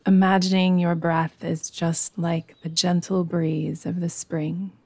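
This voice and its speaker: Slow, low-pitched woman guiding meditation. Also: level tone, gravelly, no room sound. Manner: soothing, gentle, unhurried, with long pauses. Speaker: yoga nidra meditation teacher. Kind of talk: guided meditation.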